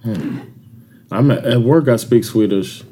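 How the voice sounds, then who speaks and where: A man's voice: mid-range voice, unhurried, mellow. A man with an American accent in casual podcast chat, laid-back and asking follow-up questions. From United States.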